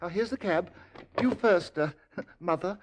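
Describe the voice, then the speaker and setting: A man's voice: sits high, unhurried, a little hiss or hum. A man playing a furtive, over-solicitous bridegroom, hesitant and melodramatic, in a 1940s radio drama. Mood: hurried.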